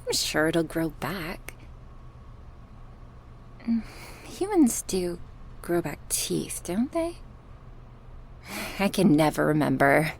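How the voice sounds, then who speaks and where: Sadistic goddess captor, played by a woman, horror ASMR: husky, playfully menacing, sarcastic, with wide swooping intonation. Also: sits low, big pitch swings, heavily acted. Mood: mocking. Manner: taunting, sarcastic, slow and drawn out with sighs.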